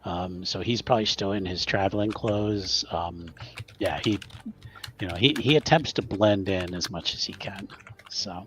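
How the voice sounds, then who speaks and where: A man's voice: low voice, normal speed, a bit bright. A man playing a tabletop roleplaying game, casually talking through his character's actions. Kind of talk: casual improvised game chat.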